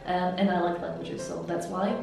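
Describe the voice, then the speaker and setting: A woman from Slovakia with a Slovak accent, an interpreter and language mentor, giving measured, explanatory interview answers. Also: lowish voice, little pitch movement, creaky. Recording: echoey room, heard from some distance, soft music.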